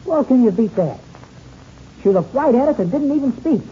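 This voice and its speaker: A man's voice: very high voice, expressive, moderate pace. An actor playing an eager, observant, friendly teenage boy pilot in an old-time radio adventure serial. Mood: puzzled. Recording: muffled, hissy old radio recording.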